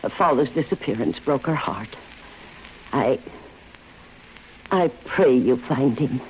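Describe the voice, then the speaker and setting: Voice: mid-range voice, lively intonation, slow pace. A genteel, grieving old woman in vintage radio drama. She sounds worried and sorrowful, on a dull recording with faint noise. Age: older. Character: grief-stricken, genteel old lady, worried wife. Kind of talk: 1940s radio acting.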